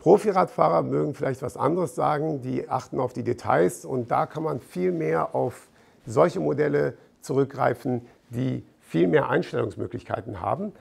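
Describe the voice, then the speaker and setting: Very gravelly middle-aged man. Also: mid-range voice, moderate pace, a little mellow. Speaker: fitness expert presenter. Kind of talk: informal product explanation to camera. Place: bright gym showroom indoors with large windows.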